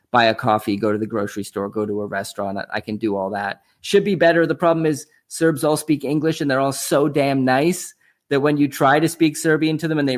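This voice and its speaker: A man with a Canadian accent, talking casually and at length as an interview guest, explaining his points conversationally. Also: mid-range voice. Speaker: interview guest, online commodities show host.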